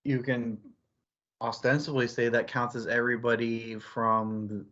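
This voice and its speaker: A man's voice: medium pitch, level tone, unhurried, a bit bright. Guy chatting about books, kinda rambly and analytical, slightly muffled lo-fi sound. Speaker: book club stream host. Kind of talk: casual book discussion.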